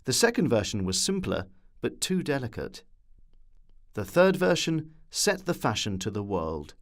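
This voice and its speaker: Man narrating with very varied pitch. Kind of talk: scripted documentary narration.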